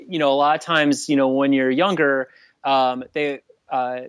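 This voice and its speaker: A man's voice: mid-range voice, fairly even intonation, moderate pace, smooth, no echo. A man calling in to a talk show, speaking hesitantly and restarting often. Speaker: caller. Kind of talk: phone-in conversation.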